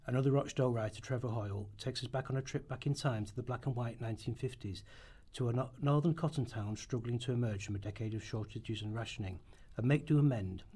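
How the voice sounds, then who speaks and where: Male narrator for a play rehearsal. Medium pitch, slightly rough voice, occasional stumbles in the reading. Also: fast talker, mellow. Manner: stumbles over words and rereads. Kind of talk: scripted narration read aloud in rehearsal.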